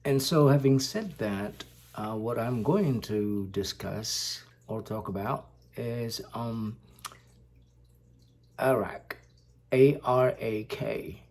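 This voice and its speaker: A man from Indonesia, a native Indonesian speaker, talking slowly to the camera in a casual vlog, pausing often with fillers. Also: medium pitch, darkish tone. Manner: slow, halting, many fillers. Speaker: vlogger.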